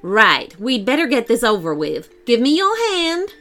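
A woman voicing a brisk, bossy little female tooth fairy who grumbles and snaps in a storybook reading. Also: fairly high pitch, very animated, fairly bright tone. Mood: exasperated. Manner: snappy and fussy, grumbling.

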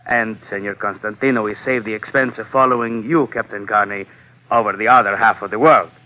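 An actor playing a sly, unruffled foreign go-between with halting non-native phrasing in an old-time radio drama. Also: male voice, on the low side, natural voice. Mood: sly, amused. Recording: tinny old radio broadcast.

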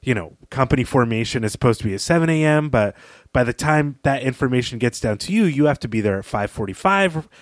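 A man's voice: medium pitch, animated, fast talker, dry recording. Opinionated man in dry, conversational commentary. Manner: opinionated, emphatic. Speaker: podcast co-host.

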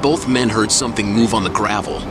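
A man narrating an audio drama in a steady storytelling manner. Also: on the low side, fairly quick. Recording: loud music score behind.

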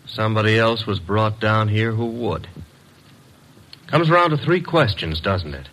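An actor playing a brisk, wisecracking detective's assistant in a vintage radio drama. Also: male voice, lowish voice, lively intonation, a bit bright, full character voice. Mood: brisk, businesslike. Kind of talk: 1940s-50s radio detective drama acting.

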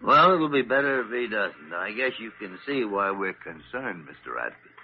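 An actor playing a crusty, exasperated older American senior doctor in a classic radio drama. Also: male voice, lowish voice, big pitch swings, normal speed. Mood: gruff, curious. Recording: dull, noisy old radio recording.

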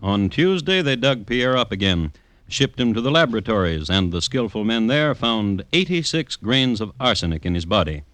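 An actor playing an urbane, droll crime connoisseur who narrates old murders in a deliberate 1950s radio style. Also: male voice, lowish voice, sing-song, a bit bright, no echo. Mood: dry, deliberate. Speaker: host and narrator.

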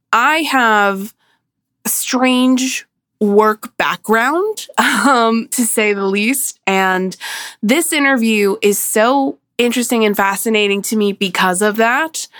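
A woman's voice: mid-range voice, fairly bright tone, dry recording, studio quality. A woman hosting a podcast in casual chat, sounding slightly nasal from a cold and laughing often. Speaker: podcast host.

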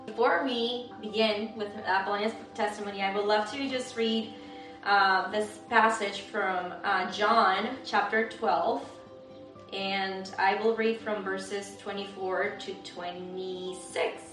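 A woman's voice: medium pitch, fairly even intonation, slow. A woman hosting a faith interview, speaking earnestly and devoutly in a home. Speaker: interviewer and host. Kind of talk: livestream testimony interview with scripture reading. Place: indoors in a guest's home. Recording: echoey room, distant mic, music.

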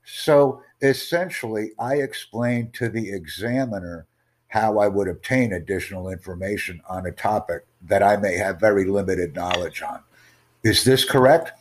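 A man hosting an exam-prep podcast, teaching in a slow, formal, deliberate way and asking rhetorical questions. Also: lowish voice. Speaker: IELTS tutor and podcast host.

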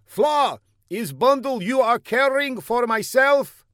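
A man's voice: very high-pitched, animated, slow, a bit bright, no room sound, studio quality, pitched above the performer's own voice, heavily acted. A man voicing a pompous, demanding older bearded secret agent who bellows in stilted, broken English. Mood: bellowing.

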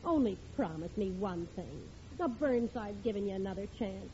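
An actress playing a patient, exasperated housewife in a 1940s radio comedy, pleading and scolding. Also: female voice, medium pitch, animated, normal speed, warm tone, lightly acted. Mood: coaxing. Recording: dull old radio broadcast with hiss.

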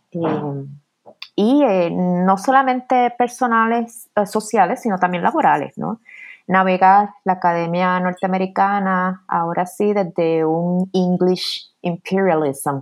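A middle-aged woman with a Puerto Rican accent, an academic guest answering reflectively in conversational style. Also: medium pitch. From Puerto Rico.

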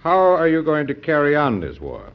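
A wry, lively-pitched radio-drama lawyer. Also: male voice, normal speed. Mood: curious. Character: wry, amused male lawyer, the president's friend. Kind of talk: old-time radio drama acting. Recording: dull, lo-fi vintage radio recording.

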